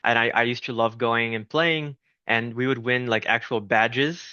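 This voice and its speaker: A Brazilian-born man in his thirties, a founder chatting as an interview guest, friendly and prone to restarting his sentences. His voice is bright and crisp, recorded dry and close with a slightly dull, lo-fi sound. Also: medium pitch, fairly even intonation, moderate pace, no echo. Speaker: game studio founder, guest. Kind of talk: casual interview talk.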